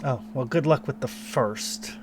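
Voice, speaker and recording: A man game master voicing a calm, scheming woman cult leader whose first language is Arabic, cool and courteous. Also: medium pitch, a little slow, noisy background, close to an everyday voice. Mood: cool, polite.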